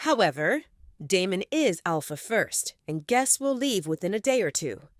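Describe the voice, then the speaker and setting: A woman narrating a romance audio story in an expressive, scripted style, close on a studio mic. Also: medium pitch, moderate pace, no room sound. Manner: expressive, swinging in tone.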